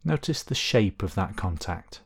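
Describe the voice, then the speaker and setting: Low-voiced man guiding a meditation. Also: very animated, creaky, slightly rough. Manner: gentle, unhurried instruction with long pauses. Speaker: meditation guide. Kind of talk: guided body scan meditation.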